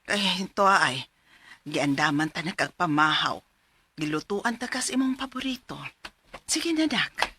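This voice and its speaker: A woman playing a doting, anxious mother in a melodramatic radio drama, drawn-out and motherly in delivery. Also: fairly low pitch, animated, background noise. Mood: tender coaxing.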